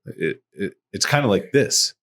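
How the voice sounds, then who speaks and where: A man's voice: lowish voice, animated, a little slow, fairly bright tone. A man from Massachusetts co-hosting a podcast in a studio, telling a story in casual chat and swearing freely. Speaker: podcast co-host.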